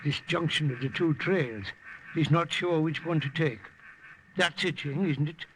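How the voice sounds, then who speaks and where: An actor playing a feisty, genial old Irish priest with an Irish accent in an old radio drama. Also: male voice, fairly high pitch, measured pace, a bit bright. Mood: calm, assured. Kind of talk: 1950s radio drama acting. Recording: noisy old radio recording.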